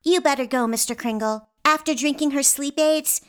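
A woman plays a precocious little girl in a comic radio play. She has a high voice and a dry, matter-of-fact way of talking, as if tired of grown-ups. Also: normal speed, close to an everyday voice. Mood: weary.

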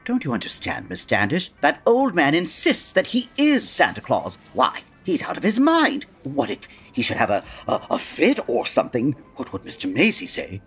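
A woman playing a fretful, excitable store manager who fears the boss, in a comic radio drama. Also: a bass voice, moderate pace, few pauses, slightly rough, faint music behind, exaggerated voice. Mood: anxious, excited. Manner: fretful, flustered.

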